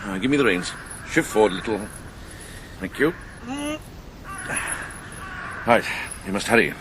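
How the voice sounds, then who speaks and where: An actor playing a weary, kindly and protective monk in a radio drama, speaking gently with measured, quiet authority. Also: male voice, on the low side, animated, background noise, natural voice. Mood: concerned, reassuring.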